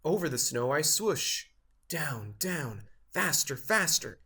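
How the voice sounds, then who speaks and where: A man reading a children's picture book aloud, with expressive delivery and measured, careful phrasing. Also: a little high, slightly rough. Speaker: storybook reader.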